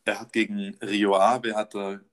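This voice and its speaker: A young man co-hosting a casual football podcast, his voice sitting a little low. He runs through match statistics in an upbeat way, with lots of filler words and small restarts. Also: flat delivery, a little slow, a bit bright. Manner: stat-heavy, upbeat, filler-laden delivery. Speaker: podcast co-host.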